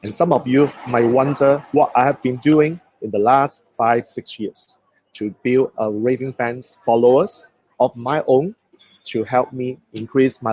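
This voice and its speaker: A man with a Hong Kong accent, a native Cantonese speaker, coaching viewers through a live stream. His voice is mellow and sits at a middling pitch. He talks in a motivational way, asking his audience questions and answering them himself. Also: low-quality recording. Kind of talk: Facebook Live talk.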